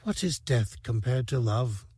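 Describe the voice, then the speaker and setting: Male narrator voicing a gentle, fading female serpent alien; soft, lifted, unhurried. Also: mid-range voice, husky, no echo, clean studio sound, voice pushed up. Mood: calm tender. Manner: soft, slow, hushed and soothing. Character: dying female serpent alien warrior, calm and tender.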